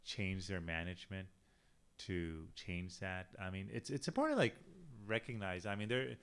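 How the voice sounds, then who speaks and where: A man speaking as a urologist on a medical panel, talking haltingly with many restarts. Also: low voice, animated, clear, resonant tone. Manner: hesitant, many restarts and fillers. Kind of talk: panel discussion.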